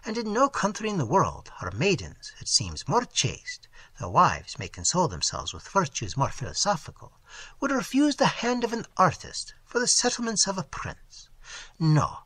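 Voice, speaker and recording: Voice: medium pitch, huge pitch swings, moderate pace, fairly bright tone, breathy edge, no room sound, voice pushed up, full character voice. A reader doing a vain, scheming French artist man. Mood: boastful. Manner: lecturing, self-important.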